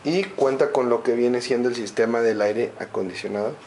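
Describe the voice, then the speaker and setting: Man, slow listing walkthrough, empty indoor rooms, handheld camera mic, faint background noise. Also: mid-range voice, darkish tone. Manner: slow, listing features with set phrases. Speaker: home sales guide. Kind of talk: walkthrough narration.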